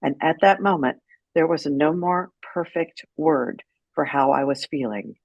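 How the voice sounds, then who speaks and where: A middle-aged American woman telling candid personal stories as an interview guest, frank and unfiltered, with dramatic pauses for emphasis. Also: sits low, creaky, a little mellow, no room sound, highs cut off. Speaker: guest, writer and former radio personality.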